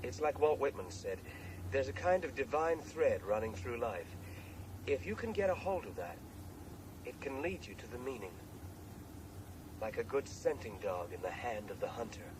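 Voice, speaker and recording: An actor playing a soul-searching man confiding to his brother in a halting, earnest radio drama monologue. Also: mid-range voice, animated, lightly acted. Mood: resolute, reflective. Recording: noisy 1980s radio drama tape.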